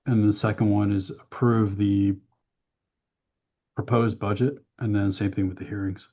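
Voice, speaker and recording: A man presenting a town budget at a council workshop, hoarse from losing his voice, with a hesitant manner full of "um"s. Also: on the low side, flat delivery, a little slow. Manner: hesitant, many "um"s, explains plainly. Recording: dull, muffled meeting audio.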